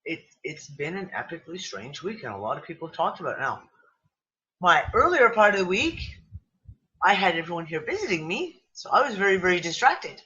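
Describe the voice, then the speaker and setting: A man hosts a loose online group chat over a video call, his bright, slightly high voice worn down, sniffly and on the edge of giving out. He rambles from point to point with exclamations and casual swearing. The stream audio sounds dull and lo-fi. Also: big pitch swings, a little fast. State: losing voice, sniffly. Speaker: livestream discussion host. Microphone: computer mic on a video call.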